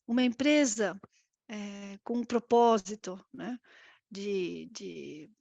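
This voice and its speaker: A woman in her fifties with an Argentine accent and Spanish as her native language, giving a conversational, reflective interview answer as a guest, often pausing to search for words. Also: mid-range voice, creaky, a little mellow, no echo, highs cut off. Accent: Argentine accent in Brazilian Portuguese. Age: 50s. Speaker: interview guest, risk management executive.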